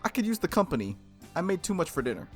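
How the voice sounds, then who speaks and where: A man's voice: sits high, sing-song, fast talker, soft background music, pitched above the performer's own voice. A man voicing a caring, easily embarrassed young woman from a visual novel, speaking in an earnest, pleading way. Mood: shy.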